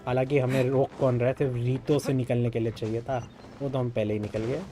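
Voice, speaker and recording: A man's voice: medium pitch, little pitch movement, racing. A man with an Indian accent giving casual live gameplay commentary as a streamer. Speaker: game streamer. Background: game music.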